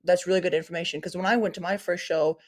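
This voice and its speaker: A woman's voice: lowish voice, fairly even intonation, fast, darkish tone. A woman co-hosting a podcast in casual chat, rambling and circling back as she talks. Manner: rambling, full of ums and restarts. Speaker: podcast co-host.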